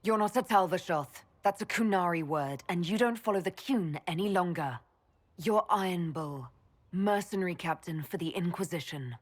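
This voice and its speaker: A woman acts out a composed commander from a fantasy game. Her voice is low and a little rough. She speaks earnestly and evenly, as a caring leader would. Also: moderate pace, close to an everyday voice. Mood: firm, reassuring. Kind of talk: video game voice acting.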